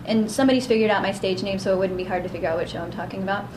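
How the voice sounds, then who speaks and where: A woman's voice: mid-range voice, rapid-fire. A woman with a young-sounding voice and a bubbly, upbeat manner, chatting as a voice actress in an interview. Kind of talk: casual interview chat. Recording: echoey room with background noise.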